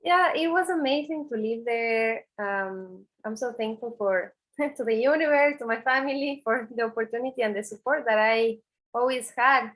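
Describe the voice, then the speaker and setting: A woman speaks in a fairly high voice. She answers earnestly, often restarting her sentences and filling pauses with "eh". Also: fairly bright tone, low-quality recording. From Ecuador. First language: Spanish. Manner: earnest, many restarts and "eh" fillers. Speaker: artist guest. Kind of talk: interview answers.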